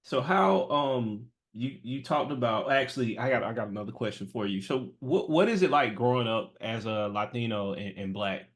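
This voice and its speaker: A man's voice: mid-range voice, expressive, moderate pace, few pauses. A man hosting a casual interview, asking questions with restarts and hesitations. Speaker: podcast host.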